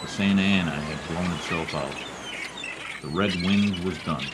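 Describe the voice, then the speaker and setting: An actor playing a world-weary but decent private detective in old-time radio noir style, wry and laconic. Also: male voice, deep voice, normal speed, heavy background noise, lightly acted. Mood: wry, brooding.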